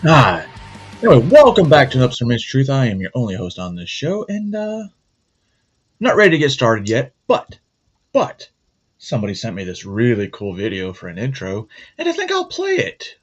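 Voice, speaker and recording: A man's voice: medium pitch, huge pitch swings, normal speed, husky, soft background music. A man hosting his own show, chatting casually and rambling, cracking jokes and correcting himself. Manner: rambling, joking, self-correcting. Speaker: host. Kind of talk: casual morning show chat. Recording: dull lo-fi sound.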